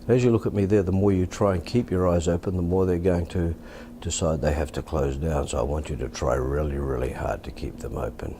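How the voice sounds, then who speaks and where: A low, deep Australian male voice with the calm of a working hypnotist. He speaks softly and deliberately, repeating himself as he instructs. Clear background noise sits behind him. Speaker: hypnotist teaching and demonstrating.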